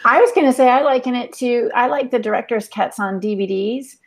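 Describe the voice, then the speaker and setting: A woman's voice: fairly high pitch, fairly even intonation, a little fast, vocal fry. A woman speaking as a podcast panelist in a casual, conversational style. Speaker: podcast panelist, aspiring novelist. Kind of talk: casual chat.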